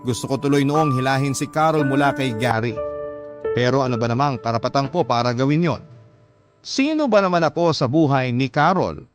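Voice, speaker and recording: A man's voice: medium pitch, a little fast, close to an everyday voice. A man playing an earnest young letter sender narrating his love story, with a Filipino accent. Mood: earnest, sympathetic. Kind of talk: earnest first-person scripted radio drama narration. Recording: clear music bed behind the voice.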